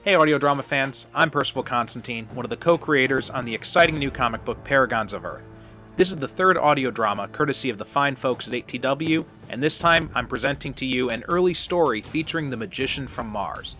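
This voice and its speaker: A man's voice: mid-range voice, a little fast, pushed and bright, faint music behind. A man hosting, introducing an audio drama episode in an upbeat, promotional way. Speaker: host. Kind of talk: podcast introduction.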